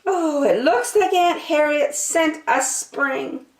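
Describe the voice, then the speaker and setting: A woman voicing a gentle, reassuring storybook mother who explains things soothingly. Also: sits high, slow pace, reverberant, pitched above the performer's own voice. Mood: pleased.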